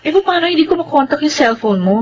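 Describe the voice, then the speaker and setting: Flirty, scheming Filipina, lively-pitched. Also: female voice, quick pace, highs cut off, slight background noise. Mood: peeved, scornful. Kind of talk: Filipino TV drama acting.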